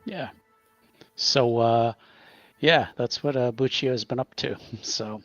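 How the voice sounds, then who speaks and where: A man's voice: medium pitch, slow pace, fairly bright tone, no room sound, soft background music. A man chatting casually as a player in a tabletop game, hesitant and trailing off, on a dull microphone. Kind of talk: casual unscripted game chat. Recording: dull, band-limited microphone sound.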